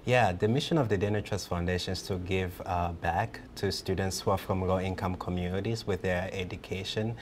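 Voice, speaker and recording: A young man, speaking earnestly as a guest in a TV news studio interview and pausing often on fillers. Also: sits low, steady tone, a little hiss or hum. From Rwanda. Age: 20s. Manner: earnest, halting with many fillers.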